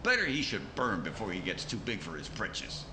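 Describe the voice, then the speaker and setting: A man's voice: deep voice, huge pitch swings, moderate pace, reverberant, noisy background. A man playing a sly, slangy mob boss in a 1950s-style radio crime drama, needling and smugly scheming. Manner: slangy tough-guy talk, needling.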